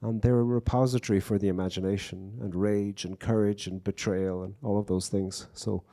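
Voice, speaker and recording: A man's voice: lowish voice, steady tone, moderate pace, warm tone. An Irish man, a poet, telling wry stories and reflecting in a live on-stage conversation at a bookstore event. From Ireland. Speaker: poet and guest speaker.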